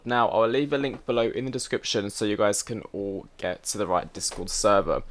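A man hosts a casual software tutorial, chatty and instructional as he talks straight to viewers. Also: lowish voice. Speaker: host of a flight simulator tutorial channel.

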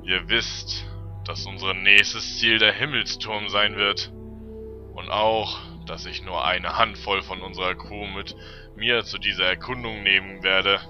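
A man plays a thoughtful expedition leader in a fantasy radio play. His voice is on the low side and earnest, caring for his crew. The sound is slightly dull with music behind. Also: steady tone, unhurried, sharp tone, exaggerated voice. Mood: concerned, earnest. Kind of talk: fantasy audio drama acting.

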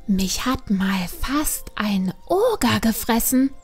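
A woman's voice: on the high side, sing-song, takes it slowly, husky, soft background music, exaggerated voice. A woman playing an eager, boastful young panda girl who tells stories dramatically in an audiobook. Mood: insistent, sulky. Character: plucky young panda girl, boastful storyteller, easily offended. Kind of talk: audiobook character acting.

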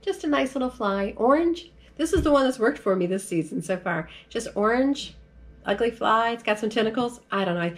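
A woman's voice: a little high, expressive, moderate pace. A middle-aged woman with an American accent giving a casual demonstration in a chatty, folksy, informal way, as if for her own vlog. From United States. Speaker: vlogger. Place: large furnished room at home, indoors.

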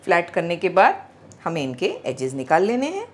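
A middle-aged woman hosting a home cooking channel, calmly walking through each step of a recipe in her kitchen. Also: fairly low pitch, moderate pace. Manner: calm, instructive, gently explaining.

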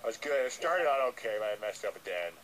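A man's voice: on the low side, very animated, fast talker, fairly bright tone, voice pushed down. A man voicing a nervous, earnest, dim-witted llama who hesitates and repeats himself on a comic radio call. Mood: flustered boasting. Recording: noisy re-recording of radio playback.

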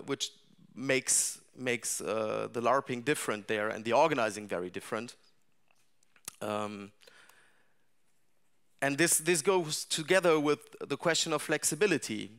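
A middle-aged man giving a talk about game design to a seated audience in a dark seminar room, thinking aloud with frequent restarts and fillers. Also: medium pitch.

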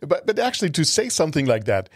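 A man hosting a casual interview, speaking in hesitant, halting phrases. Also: fairly high pitch, sing-song, husky, no room sound, studio quality. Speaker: podcast host, interviewer.

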